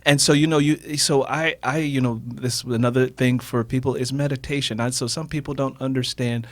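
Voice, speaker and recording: A man's voice: mid-range voice, fairly even intonation, normal speed, no echo. A man telling reflective personal stories as a radio guest, emphatic and repeating phrases for effect. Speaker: interview guest, author.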